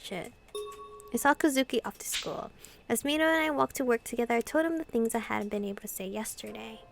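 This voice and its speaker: A woman doing an informal, expressive playthrough of a visual novel, reading and commenting as host. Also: on the high side, a little fast, vocal fry, soft background music. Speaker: gaming video host.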